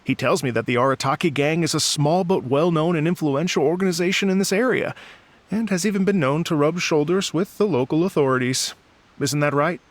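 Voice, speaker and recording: Guy acting a calm courteous musician from a game, slightly high voice, measured and a bit hesitant. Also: close to an everyday voice. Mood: measured, tactful. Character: thoughtful, polite male musician, calm storyteller.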